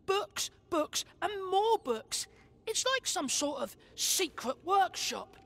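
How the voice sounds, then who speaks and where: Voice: extremely high pitch, very animated, takes it slowly, fairly bright tone, full character voice. An actor voicing an eager young village boy in a fantasy game, talking informally. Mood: excited.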